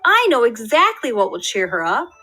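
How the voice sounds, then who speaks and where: A woman's voice: on the high side, sing-song, moderate pace, sharp tone, faint music behind, heavily acted. A woman reading aloud as an eager young schoolboy in a children's storybook.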